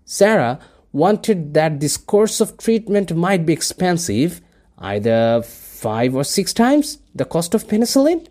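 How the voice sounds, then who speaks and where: A man from Bangladesh whose first language is Bengali, speaking English with a Bangladeshi accent as he reads a set passage aloud with careful, deliberate delivery. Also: fairly high pitch, very animated, darkish tone. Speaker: dialect archive speaker. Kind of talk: reading a set passage, then speaking about his culture.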